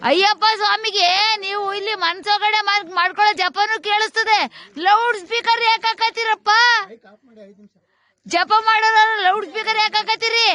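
A woman's voice: extremely high pitch, steady tone, normal speed, sharp tone. A woman with a rural southern Karnataka accent talking casually on the spot, in a scolding way full of repeated questions. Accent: Kannada, rural southern Karnataka. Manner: scolding, repetitive rhetorical questions. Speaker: vlogger. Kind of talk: casual spoken commentary and argument to people on the spot. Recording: live location with crowd noise behind. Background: crowd.

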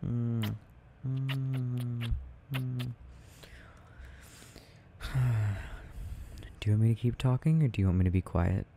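Tender boyfriend male actor, late-night ASMR roleplay: slow, gravelly murmur, doting and gently teasing. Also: lowish voice, slight background noise. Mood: cozy, affectionate. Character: tender, doting boyfriend soothing his sleepy partner at night.

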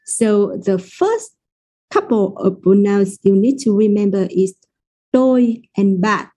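A woman's voice: medium pitch, expressive, slow pace, mellow, husky. A woman with a Vietnamese accent, a native Vietnamese speaker, teaching the language in English with careful explanations. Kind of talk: explaining pronunciation in a lesson.

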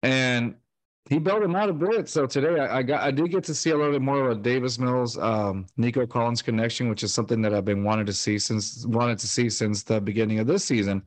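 A man's voice: mid-range voice, a little fast, dry recording. Rambling man on a dry home mic. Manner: rambling with many fillers and restarts. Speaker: podcast co-host. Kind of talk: casual sports chat. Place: home room, video call. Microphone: studio mic on stand with headset.

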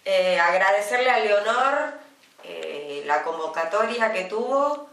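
A woman in her fifties with an Argentine accent, co-hosting a casual studio chat show and talking chattily with many drawn-out pauses. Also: mid-range voice, expressive, a bit bright, recorded from a distance. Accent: Argentine Spanish. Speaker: co-host. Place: small TV studio, indoors. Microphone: desk microphone, some distance away. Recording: roomy studio sound from off-mic.

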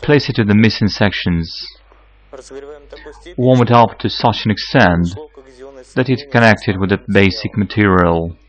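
A man in his forties giving a measured, scripted how-to explanation as a technical consultant. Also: on the low side, dull audio. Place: electronics workshop, indoors.

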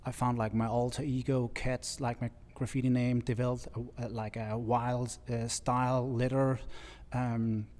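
A man in his thirties from Denmark with a Danish accent, chatting as a guest artist in a relaxed, halting way. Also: medium pitch, in a large hall. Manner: relaxed, halting, laughs easily. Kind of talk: casual interview chat. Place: large bright studio, indoors. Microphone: stand mic with headphones.

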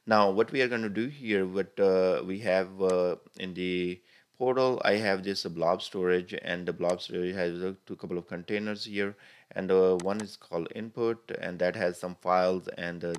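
Low-voiced man talking through a software tutorial. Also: clear, resonant tone. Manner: frequent "uh" fillers, step-by-step explaining. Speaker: tutorial instructor. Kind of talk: screen-recorded software tutorial. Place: voice-over recorded over the screen capture.

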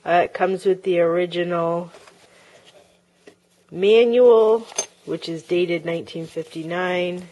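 Woman reseller rambling slow about stuff she sold, lots of ums, lowish voice, filmed at home. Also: darkish tone, a little hiss or hum. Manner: chatty, with frequent ums and uhs. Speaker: online reseller. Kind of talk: casual show-and-tell of sold items. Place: home, tabletop filming. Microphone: handheld camera close-up, speaker off-camera.

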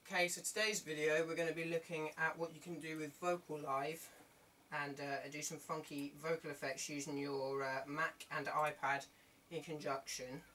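A young man hosts a casual tech tutorial in a fairly high voice. He is picked up from a little way off in a small bedroom, with noticeable room noise behind him. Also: little pitch movement, normal speed, pushed and bright. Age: young adult. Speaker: video tutorial host. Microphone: camera across the room. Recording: distant camera mic with room noise.

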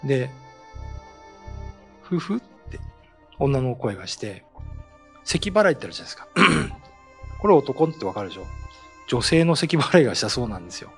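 Casting: middle-aged male host telling eerie anecdotes, lively pitch, close desk mic in a small studio. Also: normal speed, pauses often, faint music behind. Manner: animated storytelling, acts out sounds. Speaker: host and ghost-story writer. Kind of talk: casual talk explaining folklore. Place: small studio set with bookshelf backdrop, indoors. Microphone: desk mic on a stand.

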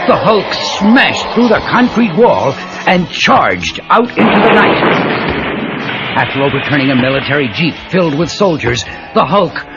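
A man narrating a children's adventure story in a dramatic, emphatic scripted style. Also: a little high. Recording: muffled cassette with prominent music.

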